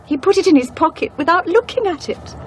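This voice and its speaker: An actress playing a poised, bitter woman in a radio play, polite and measured in manner. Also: very high voice, animated, rough recording. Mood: bitter, cool.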